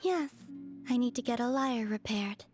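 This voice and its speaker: A gentle, reclusive maiden of otherworldly calm , played by a woman, speaks in a high, soft voice. Her delivery is composed and formal, as in video game dialogue. Faint music sits behind her. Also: moderate pace, lightly acted. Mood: serene.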